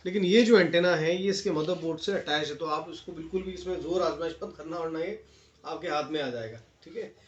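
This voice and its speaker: A man's voice: a little high, a little fast, lo-fi sound. An Indian man presenting a casual unboxing review, explaining features to viewers in a friendly-address style with English terms mixed in. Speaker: video presenter and product reviewer. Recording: echoey room with steady noise and clicks.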